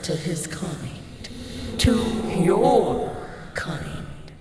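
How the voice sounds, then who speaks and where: A man's voice: fairly high pitch, lively intonation, very slow, exaggerated voice. A man playing an ancient, cruel demon that possesses a boy in a fantasy audio drama, taunting and savoring its words. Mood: cruel relish. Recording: echoing, distant, heavy noisy ambience.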